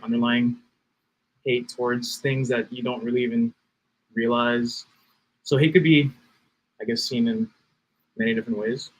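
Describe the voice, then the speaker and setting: A man's voice: medium pitch, rough recording. A young man from Oahu, a podcast guest, speaking hesitantly with many fillers and hedges. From Oahu, Hawaii. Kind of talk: casual conversation.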